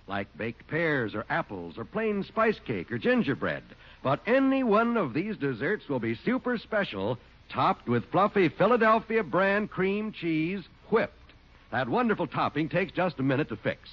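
Lively 1940s male radio announcer. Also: on the high side. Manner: smooth, persuasive, enthusiastic. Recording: dull old radio broadcast.

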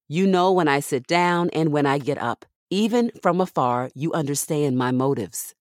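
A woman with a low-pitched voice reads scripture aloud for a devotional podcast. The recording is dry and close, with very little room sound around her voice. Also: a little mellow, no echo. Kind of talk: scripted devotional Bible reading.

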